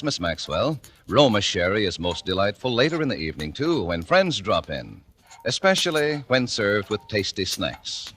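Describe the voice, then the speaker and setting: A man's voice: lowish voice, fairly bright tone. A man working as a sponsor's announcer, giving a polished, scripted 1940s radio advertising read. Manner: polished, measured salesmanship. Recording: faintly noisy 1940s radio recording.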